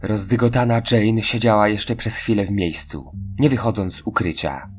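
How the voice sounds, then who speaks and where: A man's voice: on the low side, normal speed, soft background music. A man reading scripted narration for a horror audio drama.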